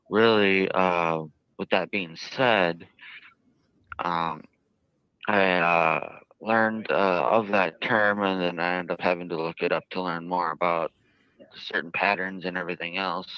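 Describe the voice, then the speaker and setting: An American man being interviewed, explaining himself in a halting, hesitant and wordy way. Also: sits low, clear, resonant tone, no echo. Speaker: jail inmate being interviewed. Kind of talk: recorded interview. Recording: dull, close lo-fi interview audio.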